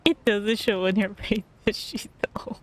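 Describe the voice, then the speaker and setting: Female game streamer: casual, musing reactions, unhurried and slow, mid-pitched. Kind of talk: casual reaction commentary over gameplay.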